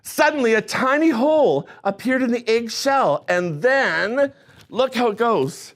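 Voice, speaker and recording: A man reading a picture book aloud to children in an animated, expressive storytime manner with playful asides. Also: very high voice, measured pace. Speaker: storytime reader.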